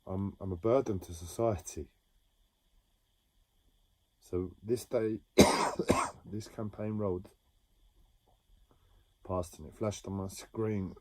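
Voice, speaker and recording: A man talking slowly and haltingly about his own experiences in a reflective monologue, with many pauses and restarts. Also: deep voice, steady tone, dry recording, poor audio. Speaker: livestreamer sharing a personal story. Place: home.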